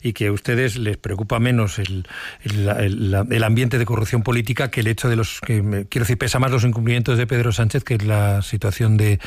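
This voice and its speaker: A man's voice: on the low side, steady tone, dry recording. A male interviewer asking a politician pointed questions, stumbling and restarting as he shapes them. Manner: halting, with many restarts while forming questions.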